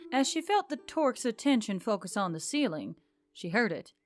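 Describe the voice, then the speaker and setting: High-voiced woman narrating over music. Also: very animated, moderate pace. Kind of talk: scripted narration of a fantasy story. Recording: background music under the voice.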